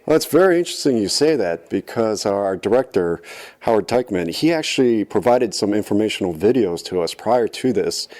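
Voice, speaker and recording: Man, from Tokyo, fairly low voice, earnest, polite, conversational interview answers. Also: expressive, brisk, a little mellow. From grew up in Tokyo, Japan. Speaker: stage actor, interviewee.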